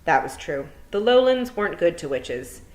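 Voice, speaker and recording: A woman teacher reads a storybook aloud to her pupils from home, talking to them directly in a chatty, encouraging way. Her voice sits somewhat low, and she moves easily between friendly asides and steady narration. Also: moderate pace. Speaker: teacher giving a read-aloud. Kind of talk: friendly classroom talk and storybook reading.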